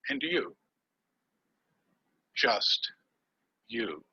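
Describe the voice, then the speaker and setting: A man giving a prepared contest speech over a webcam, with dramatic pauses and words dropped to a whisper for emphasis. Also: fairly low pitch, sing-song, husky, muffled recording. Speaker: contest speaker. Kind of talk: prepared speech delivered online.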